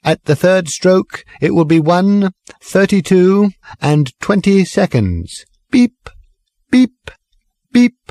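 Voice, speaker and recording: A man voicing a speaking-clock announcer in an audiobook, with a stilted, measured, sing-song delivery. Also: sits high, big pitch swings, mellow, no room sound, studio quality, pitched above the performer's own voice, exaggerated voice. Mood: mechanical, chirpy.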